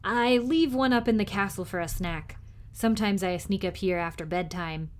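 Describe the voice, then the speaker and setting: A moody young schoolboy from a storybook, voiced by a woman. He keeps to himself and speaks with reluctance, in a medium-pitched voice. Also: normal speed, lightly acted. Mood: guarded. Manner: muttering, reluctant.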